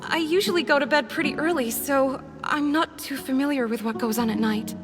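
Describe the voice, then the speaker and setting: A woman's voice: sits high, fairly quick, natural voice. A woman voicing a nervous, guarded villager. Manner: hesitant, polite. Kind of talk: video game dub. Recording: long reverb. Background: music.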